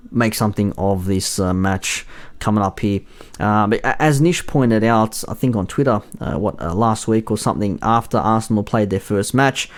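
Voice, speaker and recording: A young man hosting a solo football preview podcast, his voice hoarse and husky from yelling in a bar, rambling with lots of fillers and self-corrections. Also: on the low side. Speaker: podcast host.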